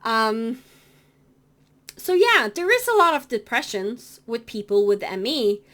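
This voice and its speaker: A woman with a Norwegian accent, a native Norwegian speaker talking in English, explaining things to camera in a halting way with many fillers and self-corrections. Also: fairly high pitch, big pitch swings, fairly bright tone. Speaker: cross-stitch vlogger. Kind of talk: casual explanatory talk to camera.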